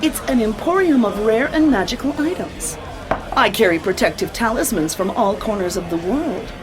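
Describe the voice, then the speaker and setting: A woman's voice: fairly high pitch, expressive, normal speed, music in the background, lightly acted. An actress playing a flirtatious, dramatic woman who runs an occult bookstore in an audio drama. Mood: flirtatious, boastful.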